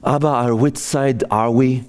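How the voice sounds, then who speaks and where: A man's voice: medium pitch, fairly even intonation, darkish tone, close to an everyday voice. A man voicing a hesitant fifteen-year-old boy who stammers and trails off, in a solo comedy piece. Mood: questioning. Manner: halting and unsure, trailing off.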